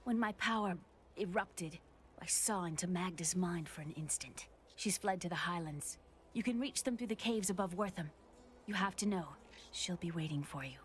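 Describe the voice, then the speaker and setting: A woman's voice: fairly low pitch, normal speed, a little hiss or hum, close to an everyday voice. A young actress playing a troubled, determined young woman in a video game, with intense, heartfelt delivery. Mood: grave, determined.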